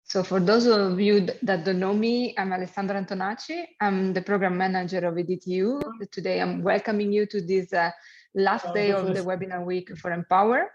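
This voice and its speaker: A woman with an Italian accent, a native Italian speaker, hosting an online webinar in halting speech with many fillers and light jokes. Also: mid-range voice, fairly even intonation, darkish tone. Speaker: webinar host and program manager. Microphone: video call. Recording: lo-fi video call audio.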